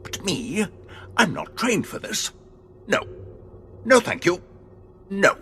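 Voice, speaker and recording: Fussy cowardly man, fretful, sarcastic, swooping intonation, clear music behind, sci-fi audio drama. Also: high voice, very animated, slow, a bit bright, heavily acted. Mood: fussy protest. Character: nervous, fussy, reluctant male coordinator, sarcastic coward. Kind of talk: science fiction audio drama acting.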